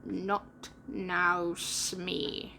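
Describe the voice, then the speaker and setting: A woman doing a dark, brooding pirate captain. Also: lowish voice, little pitch movement, vocal fry, slight background noise, pitched below the performer's own voice, exaggerated voice. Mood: menacing. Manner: dark, brooding, slow, growling and whispery. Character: menacing one-handed male pirate captain.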